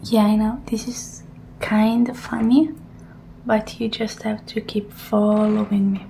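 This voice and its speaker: A woman doing an ASMR roleplay as a technician, speaking softly and gently in murmured reassurances and instructions, often slipping into a breathy whisper. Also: medium pitch, level tone, a little slow, a little hiss or hum. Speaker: ASMR roleplayer playing a technician who examines a robot. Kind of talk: ASMR roleplay, soft personal attention.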